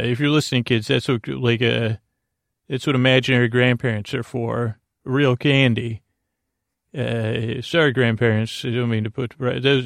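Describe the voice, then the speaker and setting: Male sleep-podcast host: gravelly, creaky delivery, lulling and meandering, recorded dry and close. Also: mid-range voice, dry recording. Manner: lulling, meandering, halting with tangents and self-interruptions. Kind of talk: rambling bedtime monologue.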